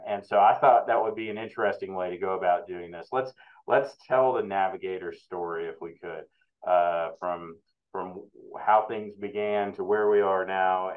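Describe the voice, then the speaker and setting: A man hosting a webinar interview as a research analyst, speaking haltingly with many fillers. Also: sits low. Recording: tinny phone-like line.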